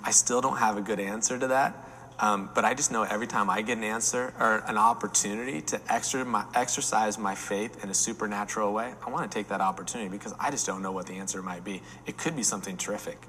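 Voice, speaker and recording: Pastor in his 40s, bright forceful voice, candid, reverberant room. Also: on the low side, fairly even intonation, fast talker, a little hiss or hum. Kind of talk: panel discussion.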